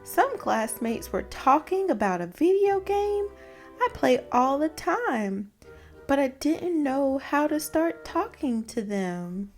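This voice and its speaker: A woman's voice: high-pitched, sing-song, takes it slowly, heavily acted. A woman voicing an anxious, earnest young boy zebra in a storybook, speaking expressively. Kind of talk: storybook character voice. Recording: music behind the voice.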